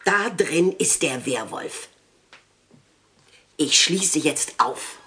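An actress playing a stern, indignant elderly aristocratic lady in a German audio drama, speaking in a deliberate, imperious way. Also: low voice, lively intonation, bright, forceful tone, heavily acted. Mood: urgent, alarmed. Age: older.

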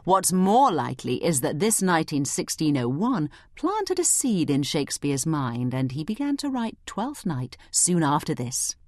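A woman's voice: low voice, sing-song, unhurried, hardly any pauses, no echo, clean studio sound. A woman narrating a scripted, dramatised study guide like a tour guide, theatrical and explanatory, slipping in asides to the listener.

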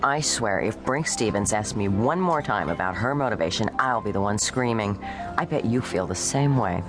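A woman playing a sharp-tongued young actress and screenwriter in a radio play, talking in a dry, confident, sardonic way. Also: extremely low pitch, animated, moderate pace. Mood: catty. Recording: radio play with music behind.

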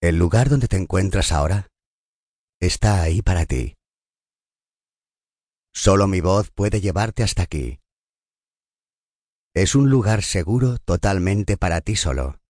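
Deep-voiced man guiding meditation, dry sound. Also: no room sound. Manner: calm, soothing, unhurried delivery. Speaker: meditation narrator. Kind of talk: scripted guided meditation narration.

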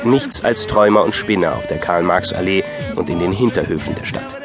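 A man's voice: low-pitched, animated, a little fast. A man narrating an audio drama from a script in a dryly wry storytelling manner. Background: music.

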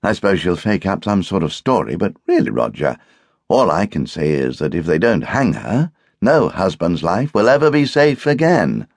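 A man voicing a brisk, opinionated English wife and hostess in an audiobook, with an arch, emphatic manner. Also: low-pitched, big pitch swings, creaky, dry recording, clean studio sound, voice pushed up, exaggerated voice. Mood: incredulous.

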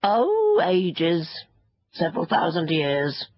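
Husky ancient sand fairy, acted by a woman, crotchety, haughty, scornful, very animated, storybook creature. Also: lowish voice, slow pace, muffled recording, heavily acted. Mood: grudging, haughty. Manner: scornful, peevish, self-important. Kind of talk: children's storybook character voice.

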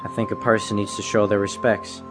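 A man's voice: lowish voice, level tone, a little fast, lightly acted. An actor playing a sincere, reflective, melancholy young man in a western audio drama. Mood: wistful. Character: earnest, melancholy young man of the Old West. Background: music.

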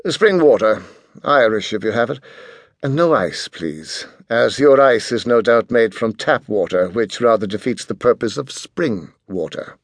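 A man's voice: on the low side, animated, unhurried, no room sound, studio quality. A man voicing a haughty, precocious teenage boy genius with a pompous, precise, formal way of speaking. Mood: haughty, cutting.

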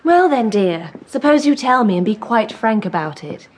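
An actress playing a spirited, self-possessed young upper-class Englishwoman in a radio drama, with crisp speech. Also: fairly high pitch, expressive, normal speed. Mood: coaxing, guarded. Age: young adult.

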